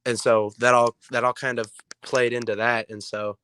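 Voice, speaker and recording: A hoarse young man with a raspy voice, a player answering interview questions in a rambling way with many ums. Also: mid-range voice, little pitch movement, moderate pace, fairly bright tone, slight background noise. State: hoarse from illness. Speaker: player guest.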